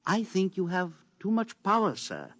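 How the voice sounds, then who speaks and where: An actor playing a foreign engineer who speaks in a measured, formal, quietly accusing way, in a 1950s radio drama. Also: male voice, high-pitched, sing-song, no room sound, clean studio sound. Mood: grave. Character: bitter foreign male engineer, calm avenger.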